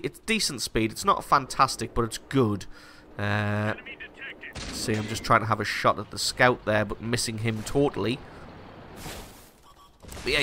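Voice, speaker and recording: Congested man with bright voice. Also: mid-range voice, a little hiss or hum. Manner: casual, self-deprecating, explanatory. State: congested from a cold. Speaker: gaming channel host. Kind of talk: gameplay commentary.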